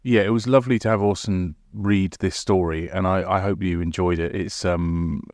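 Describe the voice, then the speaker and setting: A man's voice: sits low, fairly even intonation, moderate pace, darkish tone, no echo, studio quality. A man hosting a podcast, speaking to listeners as its writer and presenter. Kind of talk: podcast presenting, credits and informal asides.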